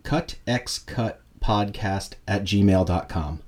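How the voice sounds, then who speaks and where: Lowish-voiced guy on a podcast, jokey and animated, casual chat. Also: slow. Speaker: podcast co-host.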